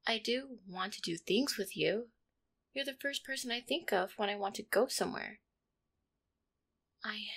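A woman voicing an affectionate, insecure catgirl girlfriend in an intimate roleplay, with a hesitant, soft-hearted manner. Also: fairly high pitch, heavily acted. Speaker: roleplay audio performer. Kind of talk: intimate one-sided roleplay audio acting.

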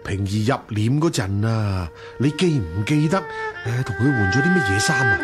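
A wealthy, lecherous husband from a radio drama. His delivery swings widely, slipping between evasive and coaxing, with a Hong Kong Cantonese accent. Music plays clearly behind him. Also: on the low side, sing-song, moderate pace. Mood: flustered, evasive.

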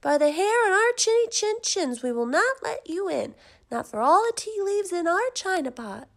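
A woman doing squeaky defiant little wolves. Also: very animated, moderate pace, heavily acted. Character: three little wolves, small, frightened but defiant.

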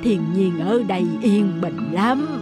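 An actress playing a kindly, frail old grandmother in a cartoon, speaking gently and without hurry. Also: mid-range voice, a little slow, close to an everyday voice. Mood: welcoming. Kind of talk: animated moral-tale dubbing. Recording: loud music under the voice.

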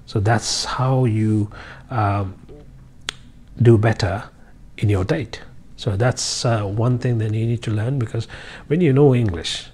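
A man's voice: on the low side, slow pace, pauses often, darkish tone, slight background noise. A man in his thirties from Sri Lanka, teaching English basics to camera in a casual, encouraging way with many fillers, recorded on a lapel mic in an office. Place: high-rise office by a window, indoors.

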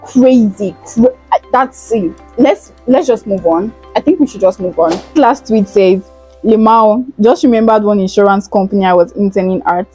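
A young woman hosts a chatty gossip show, teasing and animated. Her voice is mellow and a little husky, picked up close by a lapel mic in a small indoor studio. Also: medium pitch, normal speed, soft background music, poor audio. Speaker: host. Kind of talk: chatty scripted gossip vlog. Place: indoor studio, plain backdrop.

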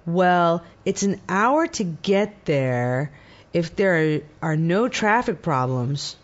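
A woman's voice: fairly low pitch, sing-song, slow, dull audio. A woman playing a helpful traveller's companion in a scripted lesson dialogue. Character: helpful woman planning an airport trip.

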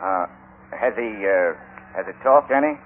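An actor playing a shifty, folksy sheep rancher in a radio western, drawling in a rural Western American accent. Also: male voice, fairly low pitch, expressive, normal speed, natural voice. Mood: uneasy, evasive. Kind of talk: vintage radio western acting. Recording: tinny, hissy old radio recording.

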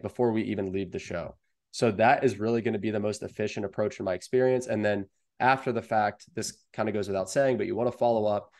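A young American man with a fairly low voice. He speaks as a guest seller, laying out advice step by step in an explanatory interview style, recorded over a video call from an indoor room. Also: darkish tone. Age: young adult. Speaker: guest, online wholesale seller.

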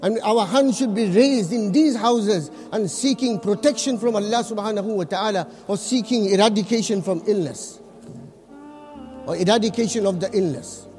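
A man giving a Friday sermon as imam, preaching earnestly with rapid recited quotations and repeated phrases for emphasis. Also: high-pitched, warm tone, soft background music.

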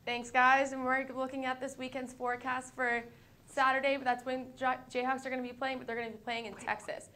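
A young woman, a student meteorologist, giving a weather forecast and chatting in a campus TV studio, eager and a little halting. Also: high voice, flat delivery, bright, forceful tone. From United States. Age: young adult.

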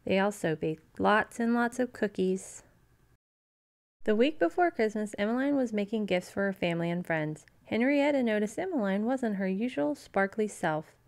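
A woman narrating a children's storybook in an expressive, scripted read-aloud style. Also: mid-range voice, moderate pace.

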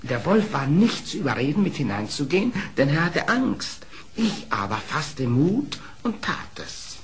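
Sly flattering fox in an old radio play, really lively pitch, takes his time, bit of noise. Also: a little slow. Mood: storytelling. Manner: theatrical, courtly, flattering storytelling. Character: sly, smooth-talking male fox telling his adventures. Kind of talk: German radio play acting.

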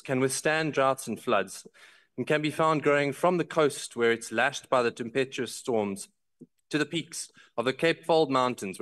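A man's voice: mid-range voice, a bit bright. Man giving a formal speech. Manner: measured, formal, reads from notes. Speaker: member of parliament giving a speech. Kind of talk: prepared parliamentary speech. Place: large parliamentary chamber, indoors. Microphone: desk microphone.

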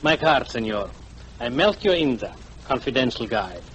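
Oily, pompous radio-play guide. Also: male voice, mid-range voice, very animated, moderate pace, fairly bright tone. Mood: oily, eager. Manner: ingratiating, flowery, pompous. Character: sly, oily, florid fat middle-aged tourist guide. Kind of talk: 1940s radio acting. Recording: noisy 1940s radio broadcast.

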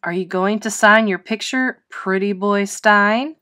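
A woman's voice: mid-range voice, a little slow, pushed and bright. Woman as a taunting young monster classmate. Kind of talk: children's storybook read-aloud.

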